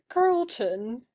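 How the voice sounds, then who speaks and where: A woman's voice: high voice, dark tone, exaggerated voice. A woman playing a popular, effusive young stage beauty in a period satirical audio play. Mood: tearfully happy.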